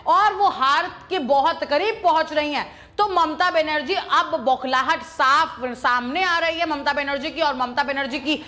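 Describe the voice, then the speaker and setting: A woman's voice: high voice, unhurried, pushed and bright. A woman presenting opinionated news commentary in an emphatic, accusatory, repetitive way.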